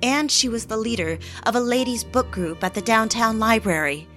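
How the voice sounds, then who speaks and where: An actress playing a fragile, eager young mid-century housewife in an audio drama, confiding in a theatrical, genteel way. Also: female voice, fairly high pitch, moderate pace, fairly bright tone, soft background music, close to an everyday voice. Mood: wry, confiding. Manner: theatrical, confiding, genteel, old-fashioned phrasing. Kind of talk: dramatic scripted audio drama narration and dialogue.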